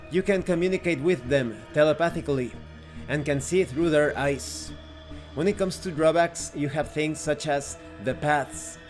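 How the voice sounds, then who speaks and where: A man narrating a scripted tabletop game review in a methodical, explanatory way, as the host. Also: on the high side.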